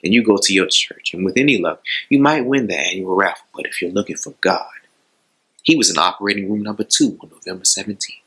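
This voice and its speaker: A male actor performs an arrogant surgeon in a dramatic monologue. His fairly low voice is hard and forceful, full of contempt and self-importance. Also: quick pace, slightly rough, exaggerated voice, poor audio. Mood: arrogant, intense.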